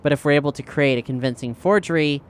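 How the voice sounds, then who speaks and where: A man with a fairly high, very smooth and clear voice talks through strategy in a tabletop role-playing game. His delivery is casual and conversational. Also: fast. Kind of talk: casual game planning chat.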